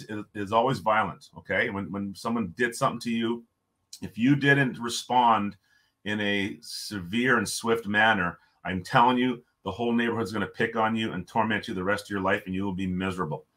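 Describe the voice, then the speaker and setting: A man from Ontario with a loud voice, telling stories as a podcast guest in an animated, emphatic way. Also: on the low side, brisk. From Canada, Ontario. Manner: animated storyteller, emphatic, frequent restarts. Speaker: podcast guest, former hockey player. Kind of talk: casual storytelling interview.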